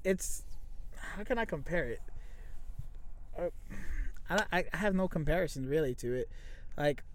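A man's voice: a little high, animated, measured pace, a little hiss or hum. A man co-hosting a casual podcast, speaking bluntly and restarting his sentences often. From United States. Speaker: podcast co-host.

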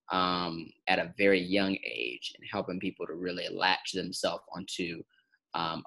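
A man's voice: low-pitched, fairly bright tone, rough recording. A young man in his twenties, a pastor, answering interview questions earnestly with repetitive phrasing. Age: 20s.

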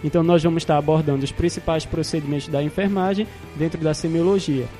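Young male co-host, dark mellow tone, podcast introduction, faint guitar music. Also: a little high, steady tone, fast talker. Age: young adult. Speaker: podcast co-host, nursing student.

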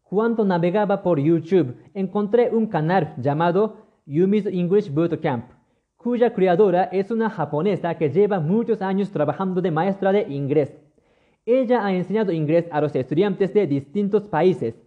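Japanese-accented man, high voice, phone-like sound. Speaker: podcast host. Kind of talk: scripted solo podcast monologue. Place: lives in Mexico.

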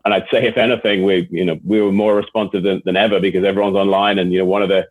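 Deep husky Englishman on a phone line. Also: level tone, rapid-fire, dry recording. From England. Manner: repeats words often as he restarts phrases. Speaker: guest executive. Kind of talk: interview answers. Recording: tinny phone-line sound.

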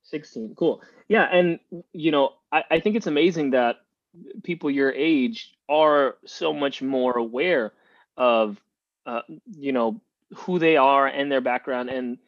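A man born in Cuba talks loosely as a guest, circling back on his words with plenty of fillers. His voice sits at an average pitch and comes through a dull, muffled remote line. The sound is dry, with no room around it. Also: measured pace, no room sound. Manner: rambling, many restarts and fillers. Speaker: podcast guest. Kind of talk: casual interview talk.

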